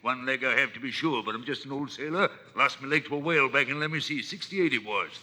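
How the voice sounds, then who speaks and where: An actor playing a sly, jovial old one-legged pirate in a storybook record, wheedling and chuckling in hearty sailor talk. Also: male voice, mid-range voice, fast, sharp tone. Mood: bluff, falsely reassuring. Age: older. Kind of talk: dramatised storybook record acting.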